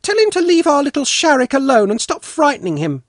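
A bold little storybook girl, as a man reads her, with a high, ringing voice. She speaks earnestly and with lively, swinging pitch. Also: a little fast, no echo, clean studio sound, heavily acted. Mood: earnest, bossy. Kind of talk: storybook character reading.

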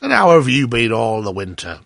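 A man's voice: mid-range voice, big pitch swings, normal speed, creaky, a bit bright, dry recording, exaggerated voice. A man voicing a sanctimonious, condescending miller in a storybook, moralizing pompously. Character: smug, sanctimonious, hypocritical male miller, condescending friend. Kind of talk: storybook character voice.

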